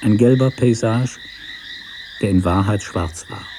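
A man reading a literary story as narrator in a measured, sober manner. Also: lowish voice, darkish tone, slight background noise.

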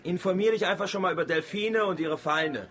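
An actor playing a busy, sardonic uncle from a lab in a radio drama, with a curt, dry way of talking. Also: male voice, high voice, a little fast, fairly bright tone. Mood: evasive, hurried. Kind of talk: German radio drama acting.

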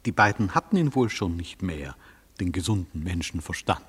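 An actor playing a dry, probing courtroom official with a very husky voice in a radio play. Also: male voice, low voice, expressive, normal speed, fairly bright tone, exaggerated voice. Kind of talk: 1970s radio drama acting. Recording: reverberant courtroom hall.

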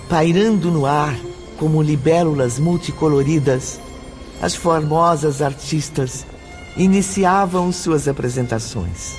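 A solemn male narrator played by a man in a radio drama. He speaks slowly and poetically in a somewhat high voice, with clear music underneath. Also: darkish tone, close to an everyday voice. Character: solemn narrator recalling wonders of the afterlife.

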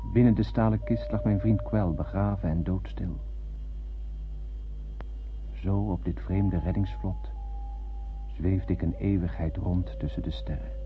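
An actor playing a haunted lone survivor of a space voyage, narrating solemnly and poetically in a radio drama. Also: male voice, sits low. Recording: noisy, thin, phone-like old radio recording.

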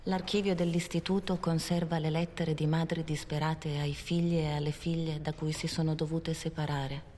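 A woman narrating a historical documentary in a measured, solemn manner. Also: deep voice, flat delivery, dark tone, a little hiss or hum, natural voice. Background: music.

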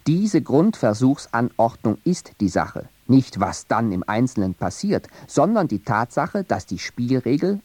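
Low-voiced man narrating a radio feature. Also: mellow, slightly rough, no echo. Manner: measured, academic delivery.

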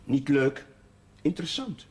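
A stubborn, modest chaplain in a television drama. His voice sits a little high and his replies are short and hesitant. The old TV sound carries some background noise. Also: big pitch swings, unhurried. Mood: stubborn, evasive. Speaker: actor in a television drama series. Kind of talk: naturalistic television drama dialogue.

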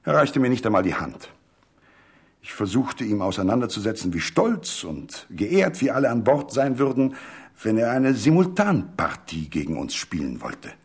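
A man voicing a proud, irritable and excitable gentleman, gruff and emphatic, in a literary radio story. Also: lowish voice, normal speed, pitched above the performer's own voice, exaggerated voice. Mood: annoyed. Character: proud irritable male amateur chess player, excitable.

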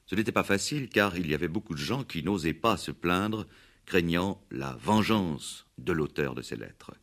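A man narrating a scripted 1960s radio play with deliberate storytelling phrasing. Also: deep voice, expressive, fairly bright tone.

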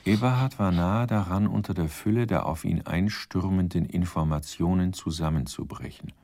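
A man narrates a radio drama in a very low, dark voice with a gravelly creak. His delivery is measured, literary and wry. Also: no echo, clean studio sound.

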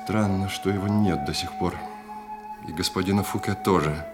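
Casting: man as restrained, uneasy young royal impostor for radio play; lowish courtly voice under loud music. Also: normal speed, close to an everyday voice. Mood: nervous, controlled. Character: secret twin prince posing as king, restrained, nervous, dignified. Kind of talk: Russian radio play acting. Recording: loud orchestral music behind.

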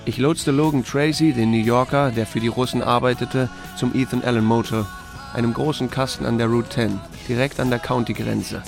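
An actor playing a laconic, wry small-town sheriff who narrates a radio drama in a gravelly, dry, unhurried voice. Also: male voice, mid-range voice, fairly even intonation, faint music behind, lightly acted. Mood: dry, observant. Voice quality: gravelly, creaky. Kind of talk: scripted first-person drama narration.

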